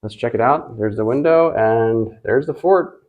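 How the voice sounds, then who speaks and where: A man hosting a travel vlog, chatting casually in a halting, rambling, unscripted way. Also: mid-range voice, phone-quality sound. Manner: hesitant, halting, rambling delivery with trailing repeats.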